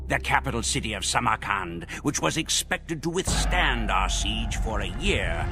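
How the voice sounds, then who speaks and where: A man playing a proud Mongol warrior who solemnly narrates grand conquests in a measured, theatrical way for a game. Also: lowish voice. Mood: proud, grandiose. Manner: measured, theatrical storytelling delivery. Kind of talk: dramatic scripted narration.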